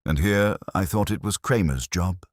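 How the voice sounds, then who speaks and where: A man's voice: low voice, normal speed, no room sound, clean studio sound, voice pushed up, close to an everyday voice. A man voicing a wisecracking, flippant detective's assistant with a breezy, wry manner in an audiobook story.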